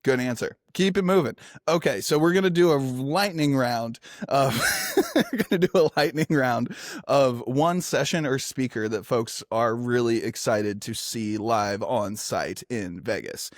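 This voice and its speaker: A man moderating a casual industry panel, energetic and enthusiastic, with lots of filler words. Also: mid-range voice, unhurried, dry recording, studio quality.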